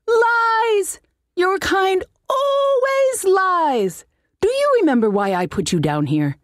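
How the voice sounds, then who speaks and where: Lady doing a cranky pompous little old wizard guy, squeaky high, bossy storybook voice, super dry recording. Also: sing-song, slow, dry recording, heavily acted. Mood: furious. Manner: indignant, bossy, exclamatory. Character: irascible, pompous old halfling wizard master, male. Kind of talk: audiobook character voice for comic fantasy story.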